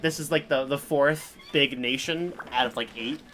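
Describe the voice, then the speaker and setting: Male game streamer: mid-pitched voice, casual, halting, rambling chat, faint game music behind. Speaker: game livestreamer. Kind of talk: casual chat while gaming.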